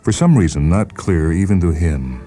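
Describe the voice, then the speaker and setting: Very deep male story narrator. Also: lively intonation, normal speed, mellow, soft background music. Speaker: narrator, short story reader. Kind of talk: dramatic scripted literary narration.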